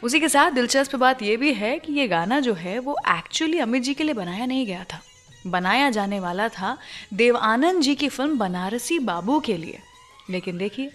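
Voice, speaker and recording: A woman's voice: fairly high pitch, very animated, normal speed, fairly bright tone. Woman radio host over music. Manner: addresses listeners directly with questions. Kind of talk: conversational radio storytelling about film songs. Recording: music playing behind the voice. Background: background music.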